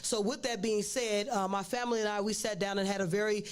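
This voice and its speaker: A woman's voice: medium pitch, fairly even intonation, fairly quick, clear, resonant tone. A woman hosting a casual interview, rambling and restarting her words with many fillers. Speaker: host.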